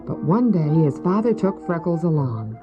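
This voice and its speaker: A man's voice: a little high, big pitch swings, normal speed, over loud music. A man performing as the storyteller of a sentimental children's audio drama, in scripted narration. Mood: measured storytelling. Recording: dull old cassette audio.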